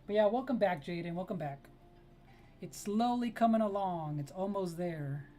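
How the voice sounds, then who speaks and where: A woman's voice: lowish voice, normal speed, warm tone, soft background music. A woman whose first language is Spanish, chatting casually with viewers on a live art stream while she draws, greeting them by name and laughing often.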